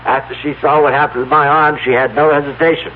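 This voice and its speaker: An actor playing a desperate, pleading farmer in an old radio drama, speaking urgently and haltingly and repeating his words. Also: fairly high pitch, flat delivery, close to an everyday voice. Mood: relieved, coaxing. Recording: tinny, noisy old radio broadcast recording.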